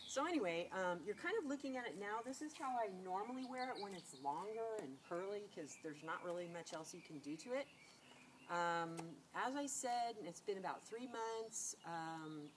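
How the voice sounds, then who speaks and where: A middle-aged woman, nasal from a cold, chatting casually to camera and rambling with many fillers and restarts. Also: mid-range voice. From California. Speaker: beauty vlogger. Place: outdoors against a sunlit wall. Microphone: camera on a tripod. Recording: noisy outdoor camera audio.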